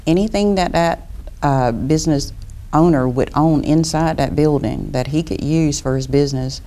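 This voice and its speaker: A woman's voice: low-pitched, moderate pace, warm tone. A middle-aged woman from Florida, a property appraiser explaining her work in a studio interview.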